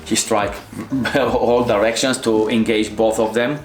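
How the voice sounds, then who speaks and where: A middle-aged man from Romania with a Romanian accent, a Krav Maga instructor reacting to fight scenes in halting, restarting English. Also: lowish voice, fairly even intonation, roomy sound. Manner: halting non-native phrasing with many restarts.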